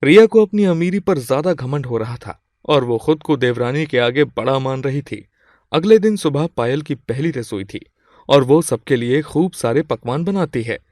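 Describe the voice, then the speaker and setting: A man's voice: mid-range voice, lively intonation, moderate pace, a little mellow, no room sound, studio quality. A man reading scripted moral-story narration as the storyteller.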